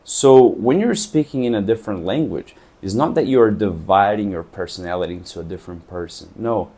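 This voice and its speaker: Mellow-voiced Brazilian man musing on language. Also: fairly low pitch, fairly quick. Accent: Brazilian Portuguese. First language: Portuguese. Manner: thoughtful, searching for words. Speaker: English teacher and traveler. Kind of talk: reflective vlog talk.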